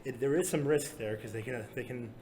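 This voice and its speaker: A young man casually commentating a strategy game tournament, talking fast and loose with lots of fillers and laughs. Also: mid-range voice. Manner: talkative, filler-heavy, laughs often. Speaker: tournament caster. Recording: music playing loudly behind.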